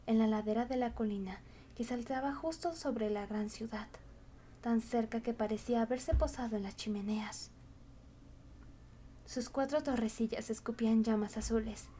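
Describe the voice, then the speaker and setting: A woman's voice: on the high side, dark tone, slight background noise. A woman reading scripted storybook narration as the narrator.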